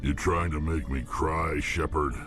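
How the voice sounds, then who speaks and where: An actor playing a hardened, blunt male alien mercenary warrior with a gruff, world-weary manner, in a video game. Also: very deep voice, fairly even intonation, a little slow. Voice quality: gravelly. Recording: game audio with music behind.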